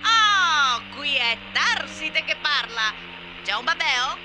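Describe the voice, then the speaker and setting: A woman's voice: high voice, big pitch swings, slow pace, heavy background noise, heavily acted. An actress playing a foul-mouthed, mocking male jester in a theatrical radio drama, taunting and insulting.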